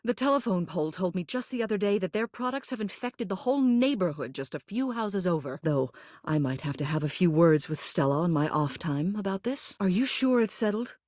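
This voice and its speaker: A woman's voice: lowish voice, lively intonation, brisk, a little mellow, no room sound, clean studio sound, natural voice. A woman voicing a dignified, protective mayor in a video game, with formal, genteel diction and an authoritative manner. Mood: resolute, official. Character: dignified, protective woman mayor, an old living household object. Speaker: voice actor in a dating sim game. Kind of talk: polished video game character acting.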